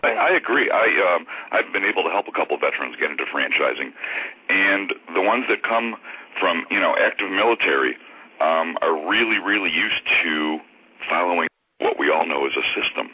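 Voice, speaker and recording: A man with a low voice and a head cold, a franchise consultant chatting as a guest on a phone interview. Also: breathy edge. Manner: relaxed, chatty, halting. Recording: tinny phone line on radio.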